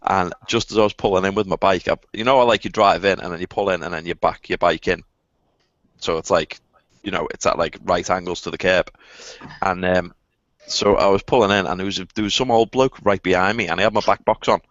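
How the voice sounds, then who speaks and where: A man's voice: deep voice, fairly even intonation, fairly quick, fairly bright tone, no echo. A man telling a casual story to friends, eagerly repeating and restarting his phrases. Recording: dull lo-fi online call audio.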